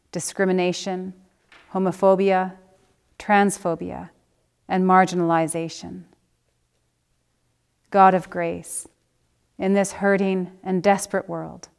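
A middle-aged woman, a minister, reading a solemn prayer in a measured, formal way. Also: fairly low pitch, vocal fry, clear, resonant tone. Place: church sanctuary.

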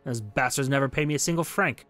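A man's voice: medium pitch, fast, fairly bright tone, soft background music, close to an everyday voice. A man voicing a proud, grumbling old locksmith. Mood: indignant, proud. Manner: indignant, boastful.

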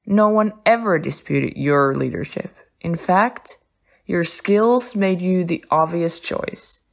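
Low-voiced, sober funfair woman played by an actress. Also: slow pace, creaky. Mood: respectful. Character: loyal, sober female funfair worker.

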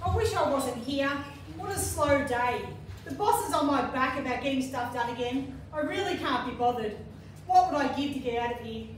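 A woman's voice: high voice, lively intonation, normal speed. A woman playing a fed-up, sarcastic nurse Dorothy in an amateur stage pantomime, with a blunt delivery. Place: care home common room, indoors, before an audience. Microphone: far camera. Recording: echoey hall, distant camera microphone, faint noise.

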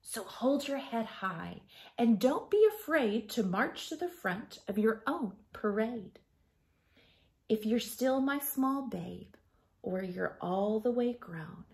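A woman in her thirties reading a picture book to children like a schoolteacher, gently and expressively, softening to a breathy hush at line ends. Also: fairly high pitch, animated, slow pace. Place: small living room at home.

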